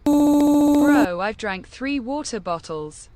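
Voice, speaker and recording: A synthetic female voice reads slangy chat messages flatly. It is fairly high and slow, with faint noise underneath. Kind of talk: casual stream chat messages read by text-to-speech.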